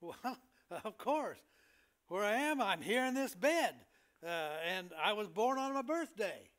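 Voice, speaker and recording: A man's voice: sits high, very animated, a little slow, a bit bright, full character voice. A man playing a genial, joking hospital patient in an amateur comic staged reading, with an easygoing, folksy manner.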